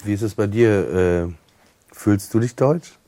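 A man hosting a podcast, asking short questions in a halting way, often restarting. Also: lowish voice, dark tone. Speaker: podcast host. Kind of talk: interview questions.

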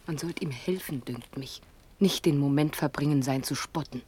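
A woman's voice: deep voice, moderate pace, warm tone, a little hiss or hum, lightly acted. An actress playing a compassionate, worried noblewoman in a classical verse radio drama. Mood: concerned, reproachful.

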